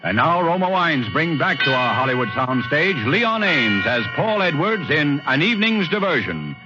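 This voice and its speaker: A man's voice: a little high, big pitch swings, normal speed. An American man announcing a 1940s suspense radio program in a formal, dramatic style. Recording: dull 1940s radio recording with music.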